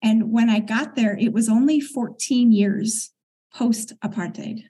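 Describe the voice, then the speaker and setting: A middle-aged woman speaks in a slightly husky, breathy voice that sits a little higher than most. She talks in an earnest, reflective way, clearing her throat now and then as she tells her story. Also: a little slow, dark tone. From United States. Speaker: guest. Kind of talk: conversational interview storytelling.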